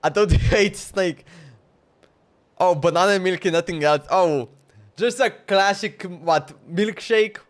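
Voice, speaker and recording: A man's voice: sits high, measured pace. A man giving casual live gameplay commentary as a streamer, rambling and thinking aloud. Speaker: game streamer.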